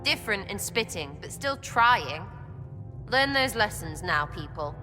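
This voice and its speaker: A cheeky, blunt young rogue in game banter. Her mid-pitched, slangy voice rings in a hall over music. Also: big pitch swings, unhurried. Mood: irreverent, pointed.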